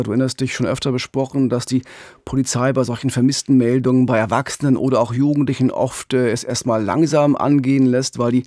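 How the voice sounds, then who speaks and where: A man's voice: mid-range voice, a little mellow, dry recording. A middle-aged man hosting a true-crime podcast, telling the story conversationally into a studio microphone. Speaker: podcast host. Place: radio studio. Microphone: studio microphone up close with pop filter.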